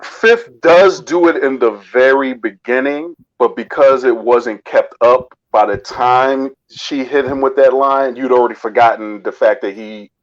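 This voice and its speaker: A man's voice: mid-range voice, unhurried, hardly any pauses. A man talking casually in a review discussion over an online call. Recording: dull, lo-fi call audio.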